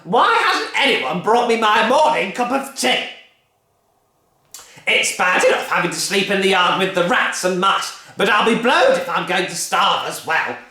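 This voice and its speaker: A reader voicing an enormous, greedy old grandmother who shouts and bosses everyone, in an echoey room. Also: medium pitch, lively intonation, unhurried, voice pushed up. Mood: shouting. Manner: shouting, bossy.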